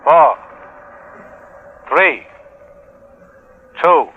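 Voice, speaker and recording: A man's voice: on the high side, animated, very slow, husky, heavily acted. An actor playing a clipped, procedural male military operator giving orders over a radio in a 1950s drama. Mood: businesslike, tense. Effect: military radio transmission filter. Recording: tinny radio transmission, faint noise.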